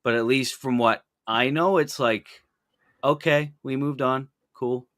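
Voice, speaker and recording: A man's voice: mid-range voice, a little slow, fairly bright tone, no echo, studio quality. A young man from Canada in his early twenties, chatting casually about wrestling in a laid-back, rambling way. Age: young adult.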